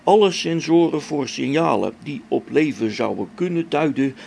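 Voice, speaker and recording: A man's voice: fairly high pitch, animated, normal speed, gravelly, darkish tone, slight background noise. An actor playing a grumbling old-school test pilot and father talking to himself in a spaceship radio drama. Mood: formal log dictation. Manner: muttering to himself, grumbling, chuckling.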